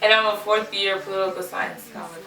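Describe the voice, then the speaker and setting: A woman's voice: mid-range voice, fairly even intonation, fairly quick, vocal fry, distant mic. A young woman from Florida, a student guest, chatty and eager in a casual talk. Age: young adult. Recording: echoey room, off mic, music behind.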